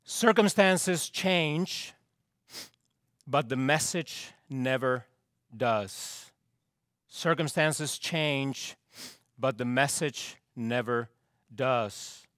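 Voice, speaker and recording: A man preaching a sermon as pastor, his voice straining and hoarse, with slow, emphatic delivery that repeats key phrases and drops low at the ends of lines. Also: a bit bright, no room sound, studio quality. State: losing his voice, clearing throat.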